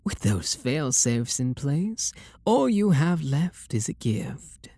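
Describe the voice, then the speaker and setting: A man acts out a refined British vampire lord, tender and possessive toward a lover. The voice is husky and slow, with lively swoops in pitch as it slips toward whispers. It plays as intimate, seductive ASMR roleplay. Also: no echo, heavily acted. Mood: soothing, wistful. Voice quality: breathy, gravelly. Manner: soft, slow, seductive murmur with breathy whispers.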